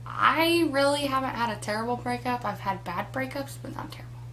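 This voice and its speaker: A woman's voice: on the high side, brisk, a bit bright, slight background noise. A woman in her twenties chatting casually to a webcam in a small room, full of teasing jokes. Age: 20s. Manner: teasing, joking asides. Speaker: co-host. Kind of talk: casual chat. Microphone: webcam at desk distance.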